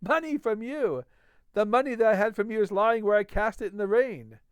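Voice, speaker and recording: A man reading a storybook role of a rough, unsavory drunkard, bitter, scornful and loudly declamatory. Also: high voice, fairly quick, no room sound, pitched above the performer's own voice, close to an everyday voice. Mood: angry, scornful. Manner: loud, declamatory.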